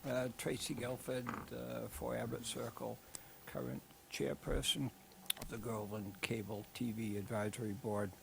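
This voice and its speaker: A hoarse man reports to a town board on behalf of a cable advisory group. His voice is gravelly and strained, and he hesitates with frequent fillers. Faint room noise sits behind him at middle pitch. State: losing voice, hoarse. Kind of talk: report at a public meeting.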